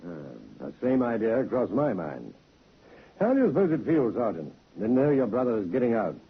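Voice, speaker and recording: A dry, bossy old-radio inspector, husky voice, lots of up and down, muffled. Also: male voice, medium pitch, normal speed, exaggerated voice. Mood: dry, commanding. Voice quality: husky, lively. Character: brisk, dry-witted Scotland Yard inspector. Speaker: actor. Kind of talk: 1950s radio acting. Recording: muffled vintage radio broadcast.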